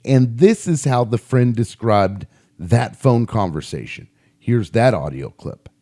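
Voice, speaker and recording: A man's voice: medium pitch, lively intonation, a little slow, mellow. A man giving informal opinion commentary between audio clips, spelling his points out emphatically with repetition. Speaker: video commentator.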